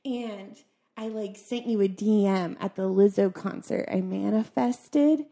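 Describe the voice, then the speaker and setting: Giggly young woman with a husky voice. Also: measured pace, mellow. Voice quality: squeaky. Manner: giggly, chatty storyteller, laughs often. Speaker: podcast guest. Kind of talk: casual chat.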